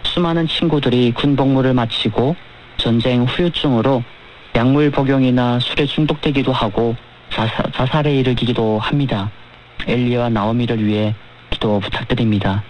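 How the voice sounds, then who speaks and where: A man's voice: medium pitch, fairly even intonation, moderate pace. Man on noisy shortwave radio. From North Korea. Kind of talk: measured radio talk and letter reading.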